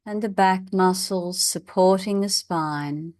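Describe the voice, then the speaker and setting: Slow-paced woman guiding meditation. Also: medium pitch, darkish tone, dry recording. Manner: measured, instructional, with long pauses. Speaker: meditation teacher. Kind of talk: guided meditation.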